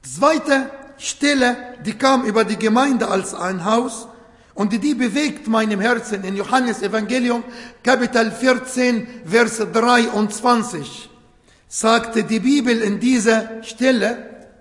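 A man whose first language is Arabic, preaching a sermon in slow, emphatic phrases with non-native grammar. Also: very high voice, a bit bright. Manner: slow, emphatic phrasing with short broken clauses. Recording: echoey hall.

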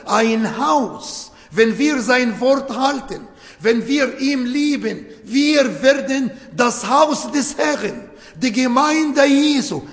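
A man whose first language is Arabic, preaching a sermon in slow, emphatic phrases with non-native grammar. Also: extremely high pitch. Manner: slow, emphatic phrasing with short broken clauses. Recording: echoey hall.

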